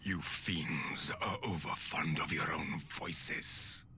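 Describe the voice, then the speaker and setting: Very deep, stern emperor. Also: male voice, slow pace, noisy background. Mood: stern defiance. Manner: grave, imperious, clipped. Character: proud, stern male emperor, commanding and defiant. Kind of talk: video game drama acting.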